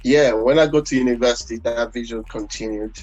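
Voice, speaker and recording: A Nigerian man, a gospel singer, talking in a relaxed interview, rambling with many fillers and restarts. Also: medium pitch, fairly quick. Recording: dull lo-fi audio with faint music.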